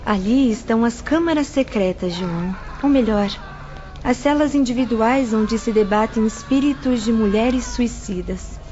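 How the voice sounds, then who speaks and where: A woman's voice: on the high side, measured pace, a little hiss or hum, lightly acted. A woman with a Brazilian accent playing a kind, delicate young religious sister who guides visitors in an audio drama. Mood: grave explaining. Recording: muffled audio drama, loud music bed.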